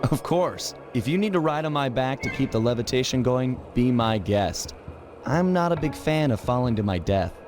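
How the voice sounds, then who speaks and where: Man as a lively, cool young thief hero. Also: medium pitch, moderate pace, lightly acted. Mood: easygoing. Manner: wry, casual, self-assured. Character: cool, confident young male animal master thief hero. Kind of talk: video game hero acting. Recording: music behind the voice.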